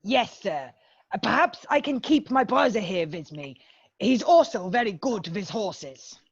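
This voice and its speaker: A woman's voice: mid-range voice, big pitch swings, unhurried, slightly rough, no room sound, dull audio, exaggerated voice. A woman reading a protective older brother, a young soldier, in an amateur screenplay read-through. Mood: deferential, pleading.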